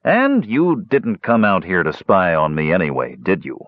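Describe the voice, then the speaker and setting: A man voicing a stubborn older dwarf uncle in a fantasy audiobook, gruff but fond, with folksy, teasing scolding. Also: sits low, sing-song, normal speed, no room sound, muffled recording. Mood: amused, fond. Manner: old-fashioned folksy speech, scolding and teasing.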